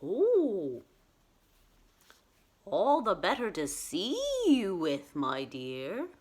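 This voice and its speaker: A woman voicing a sly wolf who pretends to be a sweet old grandmother, with drawn-out, coaxing endearments in a children's story. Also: lowish voice, huge pitch swings, darkish tone, full character voice. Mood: sly, menacing.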